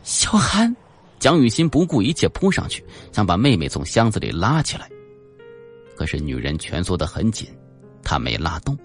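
A man's voice: sits low, very animated, normal speed. A man narrating a suspense audiobook in a dramatic, scripted storytelling style. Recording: faint background music.

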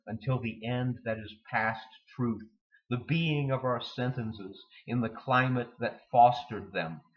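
A man in his forties reading poetry aloud in a measured, literary way, pausing between phrases. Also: mid-range voice, highs cut off. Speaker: poetry reader. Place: indoor room with bookshelves.

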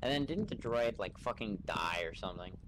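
Young guy roleplaying casually on game voice chat, kinda low voice, bit of background noise. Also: lively intonation, brisk. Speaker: role-player as a bar customer. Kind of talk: casual improvised game role-play chat.

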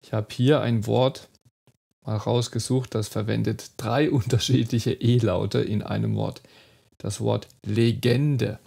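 A middle-aged man teaches pronunciation in an online lesson, speaking slowly and with care. His voice is dark and gravelly, and he repeats each model word to demonstrate it. He sits at a desk in a small room at home. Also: on the low side, fairly even intonation. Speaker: German teacher.

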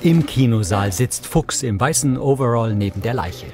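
A man's voice: mid-range voice, lively intonation, moderate pace, music in the background. A man narrating scripted audio description in a neutral, factual manner.

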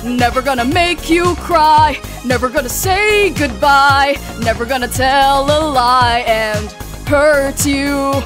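Brief: woman streamer, loose karaoke singing, high voice, unhurried. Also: over music. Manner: singing along loosely, fumbling some words. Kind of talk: karaoke singing over a backing track.

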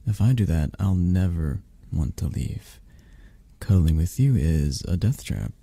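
Very deep, mellow, tender dragon boyfriend played by a man. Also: animated, no room sound, full character voice. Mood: teasing, affectionate. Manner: soft, teasing, reassuring, hesitant pauses. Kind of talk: intimate ASMR roleplay. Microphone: close, dry microphone.

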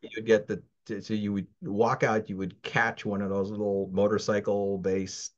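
A man's voice: on the low side, flat delivery, moderate pace. A man in his sixties, originally from the Philippines, who speaks with an American accent, recounting his childhood at an easygoing pace as a guest on an interview, full of restarts and fillers. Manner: rambling, many restarts and fillers. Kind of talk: casual interview. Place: home, virtual background. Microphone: video call. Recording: dull lo-fi video call audio.

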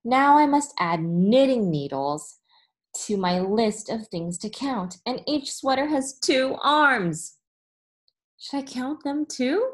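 A woman in her thirties reading a children's story aloud like a teacher, deliberate and expressive, pausing between phrases. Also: a little high. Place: small room in front of a whiteboard, indoors.

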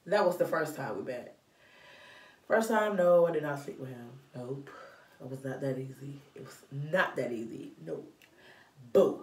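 A young woman telling a story in a vlog, sassy and animated, filmed by a camera in a small room at home. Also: lowish voice, reverberant, far from the mic. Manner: animated and sassy, waving her arms. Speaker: vlogger. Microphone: camera in front of her.

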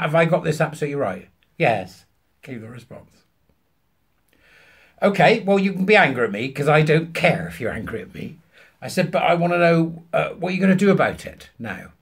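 A British man in his fifties telling an anecdote to camera, emphatically acting out both sides of a conversation in a husky voice. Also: on the high side, animated, brisk. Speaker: vlogger. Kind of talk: animated anecdote told to camera. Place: small furnished room at home, indoors.

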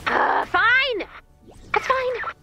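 A brisk, caring mission guide in a video game who is easily exasperated. Her voice is very high and bright, chirpy and conversational, over faint music. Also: big pitch swings, gravelly, exaggerated voice.

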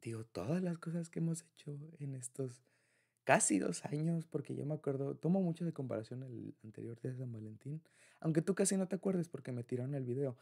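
A man's voice: medium pitch, animated, brisk, vocal fry, a little mellow. A man with a Mexican accent speaking in an informal, affectionate, rambling way, as in a personal ASMR boyfriend roleplay.

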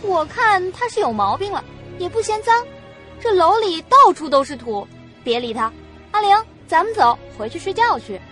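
A woman's voice: very high voice, very animated, moderate pace, fairly bright tone, faint music behind, heavily acted. A woman playing a nervous, irritable young female student in an audio drama, speaking in an emotive, exclamatory way. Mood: annoyed.

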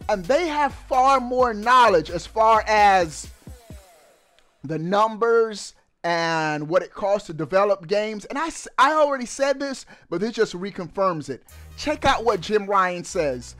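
Male gaming YouTuber, high voice, slow emphatic delivery, slangy and excited. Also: faint music behind. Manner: emphatic, animated delivery with drawn-out words, slangy. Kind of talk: excited gaming news commentary. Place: indoors at a streaming setup. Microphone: headset mic.

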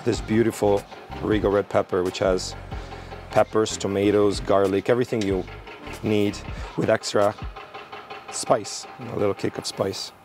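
A young man cooking outdoors at a barbecue, relaxed and explaining each step as he works. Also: on the low side, a little slow, soft background music. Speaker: cooking show chef. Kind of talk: cooking demonstration. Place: outdoor garden by a barbecue grill.

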